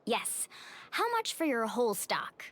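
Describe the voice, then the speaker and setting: Earnest, animated young adventurer. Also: female voice, fairly high pitch, a little slow, exaggerated voice. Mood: determined. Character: earnest, determined young female adventurer, caring and polite. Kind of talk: video game voice acting.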